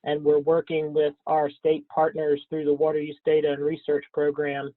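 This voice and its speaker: A man's voice: on the high side, completely flat delivery, no echo. Scratchy man on a phone-like line. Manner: halting, frequent ums and throat clearing. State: scratchy from a cold. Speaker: webinar presenter. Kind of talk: webinar slide presentation. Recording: thin phone-like webinar audio, dry.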